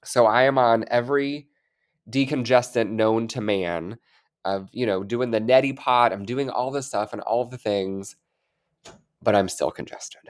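A man's voice: medium pitch, measured pace. A man co-hosting a casual podcast, stuffy-nosed and congested, chatting in an upbeat, rambling way. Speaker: podcast co-host. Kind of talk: casual chat.

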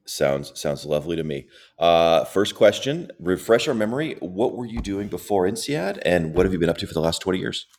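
A man hosting an interview, halting and repeating words as he cuts in with questions. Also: sits low, big pitch swings, a little mellow, slight background noise. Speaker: interview host. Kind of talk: conversational interview. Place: United States.

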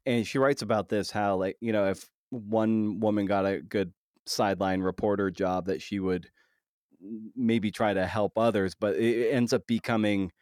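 A man hosting a podcast in a conversational interview style, often halting and repeating words as he thinks his way through. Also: on the low side, steady tone, darkish tone, dry recording, studio quality. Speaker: podcast host.

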